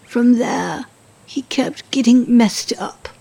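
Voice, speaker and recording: A woman acts out a reclusive, haunted ex-wife in a radio play. Her voice is fairly high and husky, and she speaks slowly and haltingly, with a subdued bitterness. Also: warm tone, heavily acted. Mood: bitter, weary. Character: weary, reclusive older ex-wife, haunted by the past. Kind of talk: radio drama acting.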